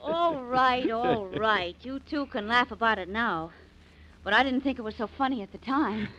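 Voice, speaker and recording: Actress doing spirited scolding young woman, highish voice, 1940s radio drama, faint noise. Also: moderate pace, highs cut off, close to an everyday voice. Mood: reproachful.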